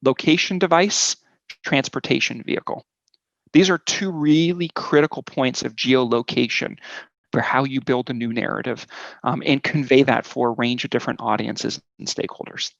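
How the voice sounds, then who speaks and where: A man in his forties tells his personal story in an easy, conversational way, often checking in with a quick "right?". His voice has a gravelly edge at a middle pitch. The sound is dry and a little dull, like a lo-fi recording. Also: fairly bright tone, dry recording. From Switzerland. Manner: conversational, frequent "right?" and "um" fillers. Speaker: guest speaker. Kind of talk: storytelling talk with slides.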